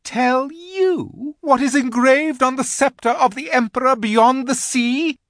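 A performer voicing a cruel, haughty witch queen in a storybook, shrill and declamatory with a snarling edge. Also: unhurried, fairly bright tone, dry recording, studio quality. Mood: shrill, outraged.

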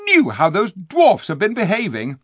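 Brief: cheeky, sharp-tongued boy, read by a man, high and loud, swinging pitch. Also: huge pitch swings, moderate pace, slightly rough, no room sound, studio quality, exaggerated voice. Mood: indignant. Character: cheeky indignant schoolboy, quick to sneer.

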